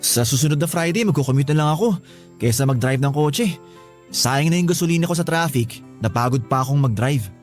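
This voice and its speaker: An actor playing a tired, exasperated young Filipino husband who pleads reluctantly in a radio drama. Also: male voice, on the high side, lively intonation, fairly quick, faint music behind, natural voice. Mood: weary, grumbling.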